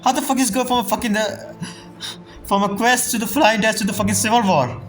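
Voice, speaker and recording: A woman's voice: fairly high pitch, fairly quick. A woman streaming a game, giving casual, blunt live reactions with plenty of swearing. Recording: loud game music and dialogue behind.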